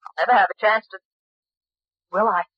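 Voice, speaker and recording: A woman's voice: mid-range voice, steady tone, normal speed, no room sound, natural voice. An actress playing a plucky, probing young woman sleuth in a 1940s radio mystery. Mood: probing, pressing. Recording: muffled old radio recording.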